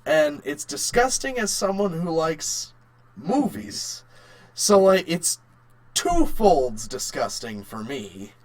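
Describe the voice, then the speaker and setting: A man co-hosting a casual film review chat, halting and repeating words as he argues his point. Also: fairly high pitch, very animated, poor audio. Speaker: podcast co-host.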